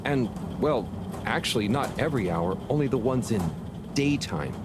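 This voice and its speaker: An earnest, naive man played by an actor in a game, speaking at a middle pitch. He is halting but lively in his intonation, with clear noise behind him. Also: close to an everyday voice. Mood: explaining. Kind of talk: video game voice acting.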